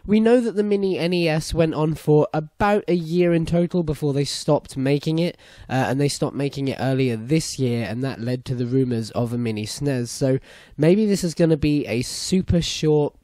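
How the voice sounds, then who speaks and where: A young British man with a croaky voice hosting a solo gaming news podcast, chatty and opinionated. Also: medium pitch, mellow, no echo, studio quality. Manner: chatty, opinionated, apologetic asides. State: hoarse, croaky voice after a concert. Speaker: podcast host.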